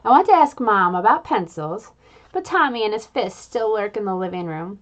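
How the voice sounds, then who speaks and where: A woman reading a children's book aloud in an expressive, playful way, adding little sound effects. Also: medium pitch, normal speed. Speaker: reader.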